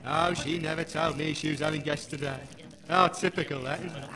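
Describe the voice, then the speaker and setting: A man's voice: a little high, steady tone, brisk, creaky. A man voicing a grumbling, cheeky old working-class robot with a British accent, blokey and sarcastic, in a comic audio drama. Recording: echoey hall, crowd noise behind.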